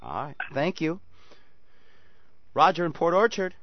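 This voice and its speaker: A man's voice: on the high side, sing-song, measured pace. A man hosting a call-in radio show, joking and bantering with callers and co-hosts. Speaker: radio talk show host. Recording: slightly dull radio audio.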